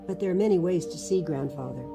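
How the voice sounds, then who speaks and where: A woman's voice: low-pitched, fast. A woman voicing an eager, loving young boy who earnestly begs and questions, in a dramatic storybook read-aloud. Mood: thoughtful, earnest. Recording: music under the voice.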